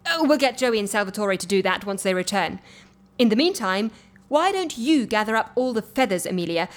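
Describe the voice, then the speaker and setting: An actress playing a brisk, droll, capable woman who gently bosses an eccentric office in an audio comedy. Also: medium pitch, animated, close to an everyday voice. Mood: gently bossy. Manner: droll, organised, gently bossy. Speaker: actor in a comedy fiction podcast. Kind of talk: audio comedy drama acting.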